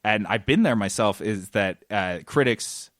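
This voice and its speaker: A man's voice: fairly low pitch, a little slow, a bit bright, no echo, studio quality. A man hosting a casual gaming podcast, explaining things and acting out quotes as he goes. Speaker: podcast host, games journalist.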